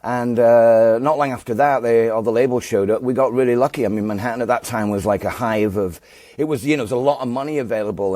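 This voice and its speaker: A man's voice: lowish voice, dark tone, dry recording. Yorkshire man telling a rambling story. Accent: Northern English, Yorkshire. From England. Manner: rambling, many fillers and restarts. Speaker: guest musician. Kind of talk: relaxed storytelling interview.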